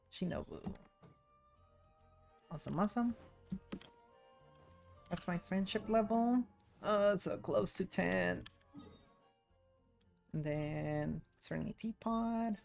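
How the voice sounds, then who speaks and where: A woman's voice: mid-range voice, takes it slowly, vocal fry, long pauses, a little mellow. A woman livestreaming a video game, chatting casually and making short offhand remarks to herself as she plays. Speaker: streamer, VTuber. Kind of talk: casual gameplay livestream chat. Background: video game music and sounds.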